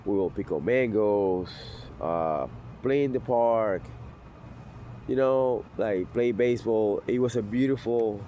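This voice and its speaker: Man, 40s, very low voice, blunt and intense, outdoor city, faint background noise. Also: takes it slowly, dark tone. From Venezuela. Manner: blunt, intense, street-tough talk. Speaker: sobriety vlogger. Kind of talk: personal storytelling.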